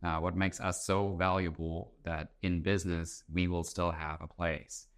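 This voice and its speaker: A man's voice: sits low, little pitch movement, measured pace. A man from Germany, talking as an interview guest in a thoughtful, conversational, explanatory way. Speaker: interview guest, author and entrepreneur.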